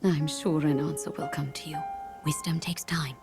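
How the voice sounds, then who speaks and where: A woman playing a kind, reassuring queen of an ancient kingdom in a video game cutscene, gentle and motherly. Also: low-pitched, steady tone, moderate pace, vocal fry, loud music behind the voice. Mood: warmly reassuring.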